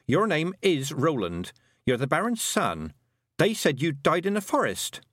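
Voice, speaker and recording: A man as young girl heroine, higher pitch, earnest, firm, practical, storybook character. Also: wildly swooping pitch, measured pace, a bit bright, no room sound, studio quality, exaggerated voice. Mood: urgent, insistent.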